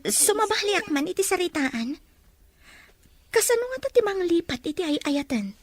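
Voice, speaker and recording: A woman's voice: very high voice, very animated, moderate pace, dry recording. A woman voicing a lovesick, insistent teenage girl in an audio drama, with an Ilocano Filipino accent. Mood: wistful.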